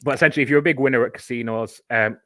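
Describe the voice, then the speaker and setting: A man's voice: mid-range voice, steady tone, rapid-fire, a bit bright, no room sound. A man, a podcast guest, explaining a topic in casual chat, talking at length and giving examples.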